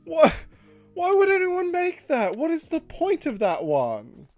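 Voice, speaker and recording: A man casually chatting on a livestream while he draws, reading out chat messages, laughing often and jumping up in pitch for jokes. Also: wildly swooping pitch, measured pace, a little mellow, no room sound, soft background music.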